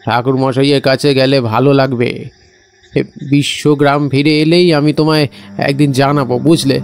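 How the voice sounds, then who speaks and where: A man playing an evasive village local who knows dark secrets, talking hesitantly and coaxingly in an audio horror story. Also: medium pitch, level tone, normal speed, lightly acted.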